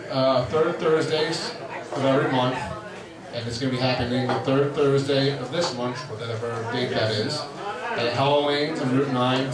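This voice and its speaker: Casual man making meeting announcements. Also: medium pitch. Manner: casual, with many fillers. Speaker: event organizer. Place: meeting hall. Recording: echoey hall from far off. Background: crowd noise.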